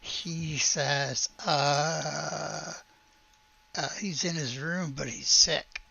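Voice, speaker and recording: A woman with a slightly husky, gravelly voice running a tabletop roleplaying game as game master, moving between casual talk and read-aloud narration. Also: deep voice, steady tone, very slow, pushed and bright, lo-fi sound.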